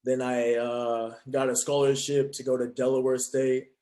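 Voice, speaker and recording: A young man from California tells his story in an earnest, steady way, his mid-pitched voice even and plain. He answers at an unhurried pace. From Fresno, California. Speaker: guest, trainer. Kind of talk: casual interview.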